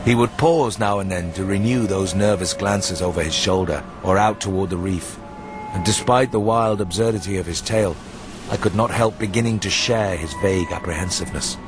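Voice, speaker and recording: A man reading literary horror narration for radio in a measured storytelling manner. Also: low-pitched. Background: music.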